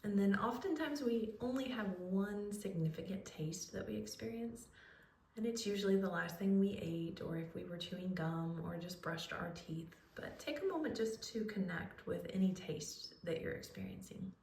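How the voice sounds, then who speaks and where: A woman leading a guided relaxation, speaking in a soothing, unhurried way with pauses as she gives gentle instructions. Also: mid-range voice, animated, gravelly, slight background noise. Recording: roomy echo, distant microphone.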